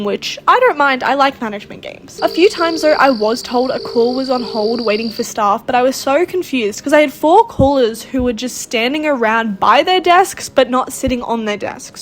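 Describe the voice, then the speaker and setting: A woman's voice: a little high, expressive, moderate pace, hardly any pauses, slight background noise. A woman giving casual gameplay commentary in a sarcastic, exasperated, complaining way. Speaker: gaming video host.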